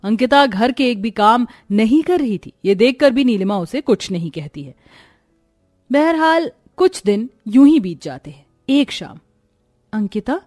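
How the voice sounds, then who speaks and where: A woman's voice: on the high side, expressive, a little slow, darkish tone, no room sound, studio quality, soft background music. A woman narrating a scripted moral story.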